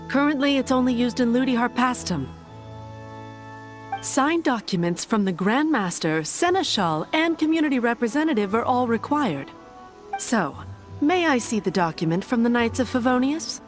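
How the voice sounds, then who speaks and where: Prim, high-voiced cathedral sister. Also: female voice, big pitch swings, moderate pace. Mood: guarded, businesslike. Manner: formal, pious, curtly polite. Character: courteous but firm young nun, primly dismissive. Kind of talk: fantasy video game voice acting. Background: background music.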